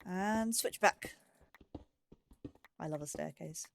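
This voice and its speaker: A woman's voice: fairly low pitch, slow, gravelly, a little hiss or hum. A woman narrating her gameplay in a casual, chatty way, thinking aloud as she goes. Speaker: let's play gamer.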